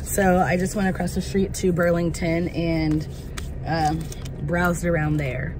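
Woman, thirties, car vlog, unhurried speech, candid opinions, traffic noise behind. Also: lowish voice, level tone. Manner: blunt, candid, searching for words. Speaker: vlogger. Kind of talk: casual opinion vlog while driving. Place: parked car, outdoors traffic around. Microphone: phone camera on dashboard. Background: car and street noise.

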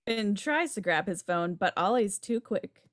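A woman narrates stage directions from a script. Her voice is medium in pitch, with a dry sound free of echo. She reads for a table read held on a video call. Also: lively intonation, a little slow. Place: home room on a video call.

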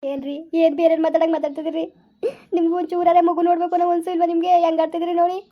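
A woman's voice: very high-pitched, flat delivery, racing, highs cut off. A woman voicing a desperate young mother who cries and pleads over her sick son. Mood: pleading. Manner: tearful, pleading, sobbing. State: crying.

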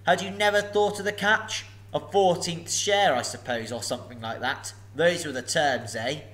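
Guy doing a big old gloating dragon from a storybook, loud and slow, boasting and threatening. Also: natural voice. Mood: mocking. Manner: booming, gloating, slow and emphatic.

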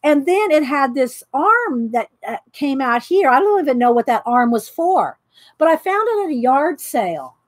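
Middle-aged woman, high voice, loud and chatty craft host, laughs a lot. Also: sing-song, normal speed, dry recording. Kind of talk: casual live craft chat. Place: home craft room. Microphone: overhead camera pointing down at desk.

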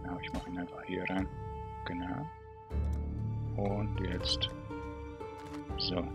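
A man's voice: low-pitched, flat delivery, slow. A young man with a Swiss German accent, chatting casually with hesitant fillers while he plays a game and talks to his viewers. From Switzerland. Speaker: gaming video creator. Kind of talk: casual gameplay commentary. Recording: game music under voice.

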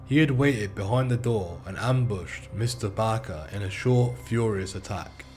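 British male narrator, lowish voice, steady formal true-crime read over a faint music bed. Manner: measured, formal case-report reading. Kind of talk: scripted narration.

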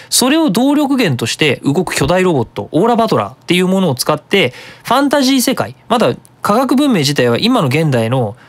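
A web radio host giving a casual, explanatory monologue in an animated manner. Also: medium pitch.

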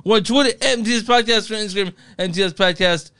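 A man's voice: sits high, racing, bright, forceful tone, smooth. A man co-hosting a movie podcast, laid-back and joking as he plugs the show. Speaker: podcast co-host. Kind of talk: casual podcast banter.

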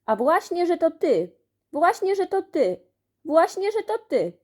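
A woman's voice: extremely high pitch, very animated, unhurried, a little mellow, voice pushed up, heavily acted. A woman voicing a tearful, tattling schoolboy in a children's story, protesting shrilly and insistently.

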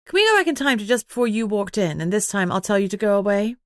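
A woman's voice: mid-range voice, sing-song, quick pace, no echo, clean studio sound, natural voice. A woman voicing a frank young heroine in an audiobook, earnest and wry. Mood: embarrassed, insistent.

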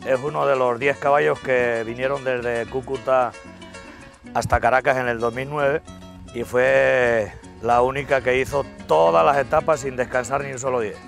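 A gravelly-voiced man speaks plainly about his horse outdoors. Faint music plays in the background. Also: mid-range voice, fairly even intonation, normal speed, a bit bright. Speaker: rider. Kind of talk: casual chat.

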